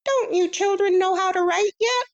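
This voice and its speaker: Woman voicing a tiny pompous mouse-man teacher, very high and bright-toned, crisp and bossy, storybook read. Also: normal speed, lo-fi sound. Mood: curt. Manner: crisp, bossy, lecturing, curt. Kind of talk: storybook reading.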